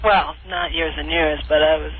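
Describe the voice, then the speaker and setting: A woman calling into a radio show, chatting emotionally and casually in a halting, repetitive way. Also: low voice, steady tone, a little hiss or hum. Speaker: caller, former fashion model. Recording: tinny phone-like line, music behind.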